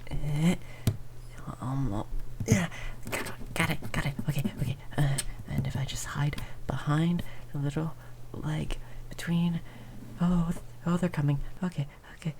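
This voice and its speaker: Woman voicing a timid stammering mouse, low and mellow. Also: fairly even intonation, gravelly. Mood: panicky. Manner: nervous stammering, halting and repeating words. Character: tiny timid mouse person, nervously eager to please. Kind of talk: improvised ASMR roleplay. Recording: faint background noise with rain ambience. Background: rain sounds.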